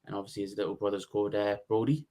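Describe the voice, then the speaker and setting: Lowish voice guy on a podcast, keeps it short and low-key. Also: fairly even intonation, racing, dark tone. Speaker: podcast co-host. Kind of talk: casual podcast chat.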